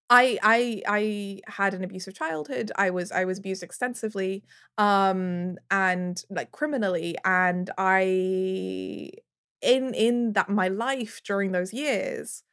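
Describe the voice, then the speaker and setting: A woman's voice: mid-range voice, fairly bright tone. A woman guest on a podcast speaking earnestly and personally, with emphatic piled-up lists of words.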